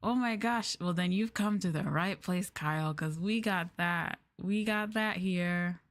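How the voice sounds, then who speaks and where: A woman's voice: fairly low pitch, measured pace. A woman streaming as a VTuber, chatting casually in a rambling, giggly way with lots of filler words. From United States. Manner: giggly and playful.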